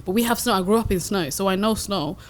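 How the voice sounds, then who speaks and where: Young British woman, podcast guest, rapid and chatty, middle-pitched, laid-back slang. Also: background noise. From United Kingdom. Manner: chatty, quick, laughs easily, slangy. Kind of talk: casual podcast conversation.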